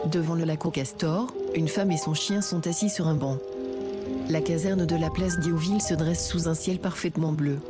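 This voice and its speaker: A woman reading a scripted audio description in a steady, even way. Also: low-pitched, normal speed, over loud music. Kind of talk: scripted narration.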